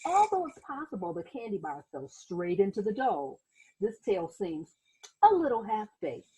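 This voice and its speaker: A woman reading a children's story aloud like a teacher, expressive and dramatic, with asides to her listeners. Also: medium pitch, moderate pace, breathy edge, poor audio. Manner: animated, dramatic, acts out sound words and exclamations.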